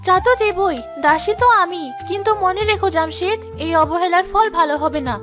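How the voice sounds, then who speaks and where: A woman acting a very high-pitched, whiny village wife. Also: brisk, over music. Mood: resentful, sulky. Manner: whiny, dramatic complaining. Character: vain, melodramatic village wife obsessed with her looks. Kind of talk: animated moral-story drama acting.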